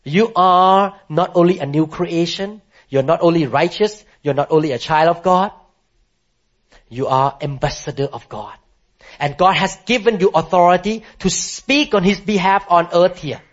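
A man with a high voice preaches to a congregation, pressing his points with emphatic, impassioned declarations and calling for responses from the room. The recording is dull and lo-fi, with a muffled top end. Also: normal speed. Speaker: pastor. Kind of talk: sermon.

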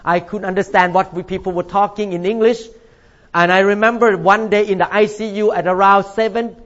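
A man's voice: high-pitched, steady tone, fairly bright tone, highs cut off. An older man from Thailand preaching a sermon in a church, stretching out key words for emphasis. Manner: emphatic, drawn-out stresses. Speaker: pastor.

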